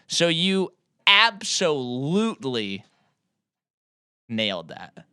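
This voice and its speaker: A man's voice: on the high side, big pitch swings, drawn-out words, sharp tone, smooth, no room sound, studio quality. A man co-hosting a movie podcast, chatting casually and explaining with enthusiasm. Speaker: podcast co-host. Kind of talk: casual movie-review chat.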